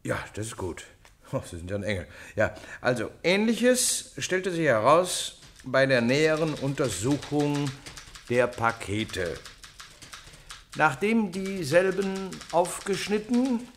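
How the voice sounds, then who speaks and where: An actor playing a fussy, easily flustered, dryly humorous police officer, speaking hesitantly and deliberately in a radio drama. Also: male voice, on the high side, big pitch swings, a little hiss or hum. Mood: halting, amused. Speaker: police officer dictating a report.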